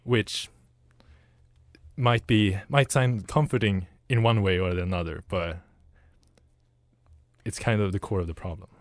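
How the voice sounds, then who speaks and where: A man's voice: fairly low pitch, a little slow, pauses often, no room sound, studio quality. A young man in his twenties co-hosts a student radio discussion and reasons through a point with a wry edge. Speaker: student co-host. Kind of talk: casual discussion.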